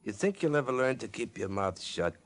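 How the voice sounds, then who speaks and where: An actor playing a curt, menacing gangster, gruff and slangy in a 1940s radio drama style. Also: male voice, medium pitch, big pitch swings, brisk, natural voice. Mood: cold menace. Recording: old-time radio recording.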